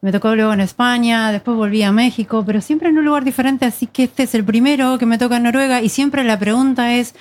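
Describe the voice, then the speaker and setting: A woman's voice: a little high, fairly even intonation, fast, few pauses, a little mellow. A woman chatting casually in a cheerful, chatty way. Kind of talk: casual chat.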